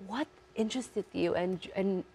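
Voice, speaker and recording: A woman hosting an interview, asking questions in an attentive and encouraging way, with soft backchannel sounds. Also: medium pitch, measured pace, a little hiss or hum. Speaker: host.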